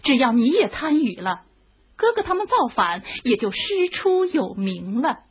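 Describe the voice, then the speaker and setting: An actress playing a bold, scheming palace consort in a radio drama, scornful, goading and impatient. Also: female voice, on the high side, big pitch swings, normal speed, breathy edge, exaggerated voice. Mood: scheming, urgent. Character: bold, scheming palace consort plotting revolt against the king. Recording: muffled, tinny phone-like radio drama recording.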